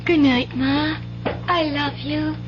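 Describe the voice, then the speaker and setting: An actress playing a dreamy, trusting, childlike teenage country girl in a 1970s radio drama, with soft, simple speech. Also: high voice, steady tone, slow. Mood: sleepy, affectionate. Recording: muffled old radio broadcast, music bed.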